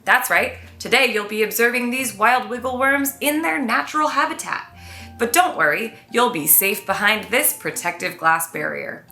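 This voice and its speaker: A woman voicing an orphan baby kitten who plays a pompous, excitable safari guide in a children's storybook. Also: on the high side, normal speed, creaky, echoey room, soft background music, heavily acted. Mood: grand, excited. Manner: theatrical tour-guide patter, exclaiming.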